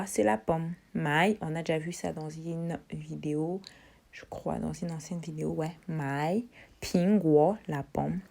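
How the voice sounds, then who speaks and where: Slow-paced woman teaching. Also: a little mellow. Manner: repeats Chinese words slowly, then explains in French; drills tones in a high voice. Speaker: Chinese language teacher. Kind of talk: informal language lesson.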